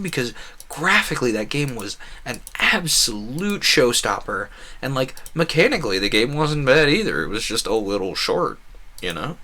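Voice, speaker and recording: Male game streamer, bright forceful voice at middle pitch, earnest and opinionated, casual rambling delivery. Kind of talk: casual gaming stream commentary.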